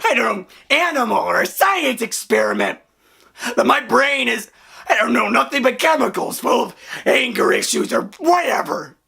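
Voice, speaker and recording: A voice actor playing a hurt, hot-tempered young man with wacky hair, crying and shouting through an outburst. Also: mid-range voice, lively intonation, moderate pace, vocal fry, slightly rough, full character voice. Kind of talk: dramatic voice acting.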